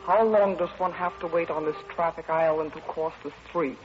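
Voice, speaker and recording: An actress playing a stern, literal-minded Russian comrade in an old radio comedy, speaking in a clipped, deadpan, formal way. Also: female voice, sits low, moderate pace, lightly acted. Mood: cool, matter-of-fact. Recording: dull, noisy vintage radio recording.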